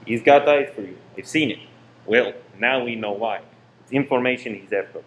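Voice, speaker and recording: A man's voice: medium pitch, moderate pace, natural voice. Male actor as secretive, controlling cinema owner in radio drama; insistent delivery, echoey room, faint noise. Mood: suspicious. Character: tense, secretive married man running a cinema saboteur scheme. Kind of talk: amateur radio play acting. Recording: roomy echo with faint noise.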